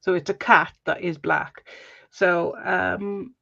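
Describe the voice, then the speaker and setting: Welsh woman host, unhurried and gravelly, easy chat while she crafts, dull lo-fi sound. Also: on the low side, steady tone, fairly bright tone. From Wales. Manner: chatty, chuckling, friendly asides to viewers.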